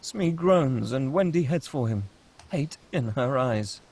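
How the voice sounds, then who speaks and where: A man's voice: mid-range voice, animated, slow, darkish tone, breathy edge. A man reading scripted screenplay action and scene headings as the narrator of a table read. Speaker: narrator reading stage directions.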